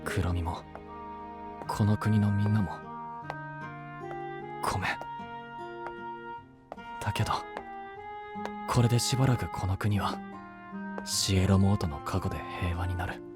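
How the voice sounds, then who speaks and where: A man playing an earnest, self-reproaching young apprentice knight in an anime-style audio drama, with a breathy, husky voice and intense delivery. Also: low voice, fairly even intonation, unhurried, long pauses, music in the background. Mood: wistful. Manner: intense, brooding, emotionally swinging delivery.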